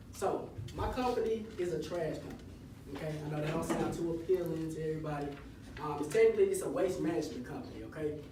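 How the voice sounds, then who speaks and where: A man in his twenties giving a casual, encouraging motivational talk to high school students and leading them in chants. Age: 20s. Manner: casual, encouraging, leads call-and-response chants. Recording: echoey hall, voice from far off, audible room noise.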